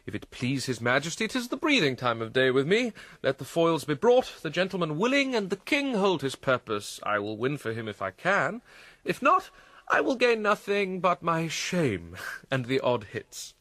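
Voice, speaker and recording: An actor playing a troubled, witty and melancholy young prince in a classical Shakespearean radio drama, speaking theatrically with a quick mind. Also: male voice, on the high side, wildly swooping pitch, a bit bright, dry recording. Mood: mocking, playful. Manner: theatrical, quick-witted, shifting between dry mockery and reflection.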